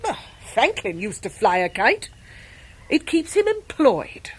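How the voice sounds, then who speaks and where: An actress playing a brusque, eccentric older English great-aunt in a radio drama, blunt, emphatic and fiercely protective. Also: female voice, on the high side, wildly swooping pitch, a little slow, breathy edge, exaggerated voice, poor audio. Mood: indignant, fond. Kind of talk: 1990s British radio drama acting.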